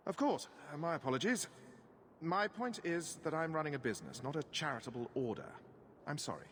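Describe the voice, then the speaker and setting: A man's voice: a little high, very animated, brisk, fairly bright tone, slight background noise. An actor playing a self-serving merchant in a video game, unctuous and defensive in his talk. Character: slick, self-serving, apologetic male merchant. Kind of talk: video game dialogue acting.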